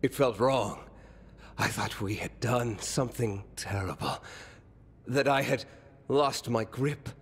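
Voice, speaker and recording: A man playing a weary, remorseful fallen mastermind in a video game, speaking gravely and haltingly with breathy trailing phrases. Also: mid-range voice. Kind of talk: dramatic video game monologue.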